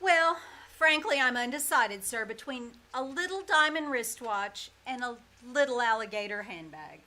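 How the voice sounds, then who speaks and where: Actress playing sardonic schoolteacher, high sharp voice, emphatic, quick-witted, radio comedy, noisy live stage recording. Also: female voice, lively intonation. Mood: sly, wry. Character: wry, quick-witted, flustered female schoolteacher with a sly streak. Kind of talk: old-time radio comedy acting before a live audience. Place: small-town theater stage.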